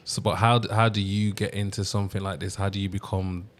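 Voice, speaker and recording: A man hosting an interview, asking slow, hesitant questions full of fillers. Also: low-pitched, flat delivery. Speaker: podcast host. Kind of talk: casual interview.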